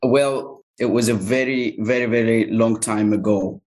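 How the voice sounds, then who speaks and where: A man from Egypt whose first language is Arabic, answering interview questions thoughtfully with many pauses and fillers. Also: medium pitch, steady tone, a little mellow. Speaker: localization agency owner, guest.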